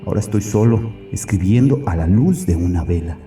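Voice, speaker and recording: Deep-voiced, brooding fanatical murderer. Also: male voice, expressive, a little fast, mellow. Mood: mournful. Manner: brooding, solemn, confessional. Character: tormented fanatical male murderer hiding in a bunker. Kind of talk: dramatic first-person audio story monologue. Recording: echoey, distant, music behind.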